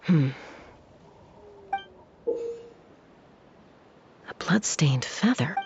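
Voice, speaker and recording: A woman's voice: low-pitched, animated, a little slow, muffled recording, background noise. A woman playing a cool, serious, watchful young tribeswoman in a video game. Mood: suspicious.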